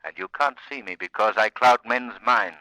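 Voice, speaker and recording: A man's voice: medium pitch, moderate pace, sharp tone, dry recording, natural voice, poor audio. Man playing a piercing, menacing invisible avenger. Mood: coolly menacing. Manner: commanding, theatrical, formal diction. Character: menacing invisible male crime-fighter who clouds men's minds. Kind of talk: old-time radio mystery acting.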